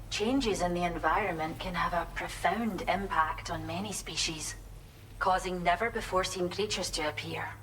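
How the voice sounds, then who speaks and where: An actress playing a friendly, grateful wildlife sanctuary researcher in a video game, explaining things gently and colloquially. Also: female voice, lowish voice, slight background noise, natural voice, rough recording. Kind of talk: video game character dialogue.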